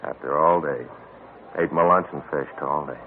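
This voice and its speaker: A rambling, rueful police partner. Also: male voice, very deep voice, moderate pace, slight background noise. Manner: rambling, aggrieved storytelling. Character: chatty male police partner, grumbling about bad luck. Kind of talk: 1950s radio drama acting. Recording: thin, lo-fi old radio broadcast.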